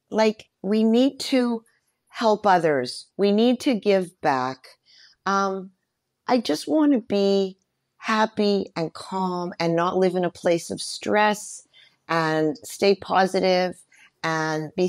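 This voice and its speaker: A woman's voice: medium pitch, slow, dry recording, clean studio sound. A middle-aged woman speaking earnestly and reflectively in a casual interview, often affirming what she hears. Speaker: podcast guest, performer and mentor.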